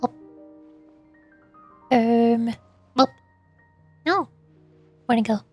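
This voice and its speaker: A woman's voice: on the high side, very slow, breathy edge. A woman livestreamer chatting casually with her viewers in a rambling, playful way. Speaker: VTuber streamer. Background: soft music.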